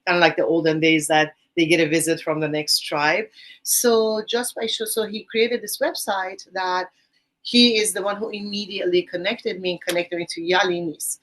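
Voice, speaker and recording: A woman's voice: lowish voice, a bit bright, rough recording. A woman from Iran with a Persian accent, a guest on a podcast interview, talking enthusiastically about volunteer teaching, with a rambling, restarting manner.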